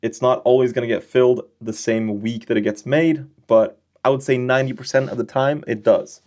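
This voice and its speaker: A man's voice: medium pitch, steady tone, fairly quick, a little mellow. A young man giving a casual trading lesson over his screen, explaining in a loose, chatty way with slangy, joking asides and frequent check-in questions. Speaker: trading educator.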